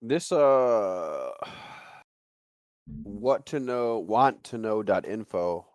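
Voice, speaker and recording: A man's voice: fairly low pitch, lively intonation, drawn-out words, clear, resonant tone, no echo. A man hosting a casual podcast, talking in a rambling way and circling back over his phrases. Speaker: podcast host.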